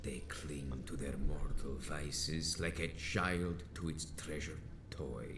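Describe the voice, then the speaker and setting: A man's voice: a bass voice, steady tone, slow pace, background noise, exaggerated voice. An actor playing an ancient, stern male vampire sect elder in a video game, speaking in a grave, formal and imperious way. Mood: proud, grave. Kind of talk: theatrical video game dialogue. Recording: roomy echo with game music behind.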